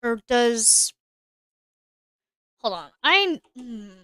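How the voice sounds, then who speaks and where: Woman game streamer, a little high, bright and clear, casual running commentary with chat asides. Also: sing-song, extremely slow, no echo, clean studio sound. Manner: chatty, thinks aloud, answers chat.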